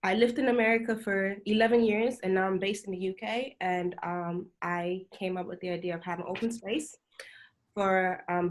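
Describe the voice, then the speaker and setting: Deliberate young woman hosting. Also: fairly low pitch. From Germany. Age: 20s. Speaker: show founder and host.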